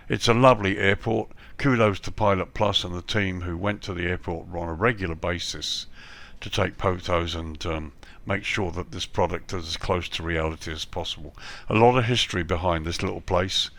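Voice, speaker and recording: A man with a cold and a slightly hoarse voice, reviewing flight simulator scenery in a relaxed voice-over full of ums and repeated praise. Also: low voice, moderate pace.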